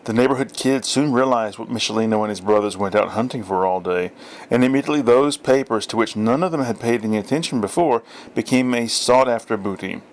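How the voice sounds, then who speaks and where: A male audiobook reader with a medium-pitched voice. He delivers scripted story narration, reading literary prose aloud. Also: fairly quick, slight background noise.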